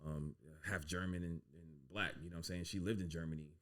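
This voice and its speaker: A man, a guest on a podcast, telling stories from his life in a relaxed, casual way with lots of "you know what I'm saying". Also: low-pitched, fairly quick. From United States. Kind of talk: casual storytelling interview.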